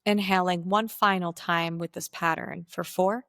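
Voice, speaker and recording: Low-pitched woman guiding meditation, close dry sound. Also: fairly even intonation, fairly bright tone, no room sound. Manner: slow, deliberate, instructional phrasing with pauses. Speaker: meditation guide. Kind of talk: guided meditation.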